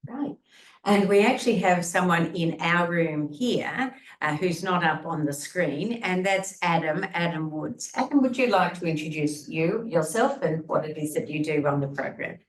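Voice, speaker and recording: A woman's voice: lowish voice, moderate pace, roomy sound, slight background noise. A woman leading a video meeting, introducing team members in an upbeat way. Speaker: course lead. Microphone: video call.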